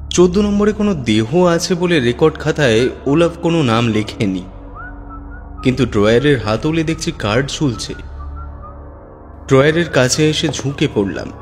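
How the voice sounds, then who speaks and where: A man narrating a first-person horror story in a measured, suspenseful manner. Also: medium pitch. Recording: long hall reverb with music. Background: faint background music.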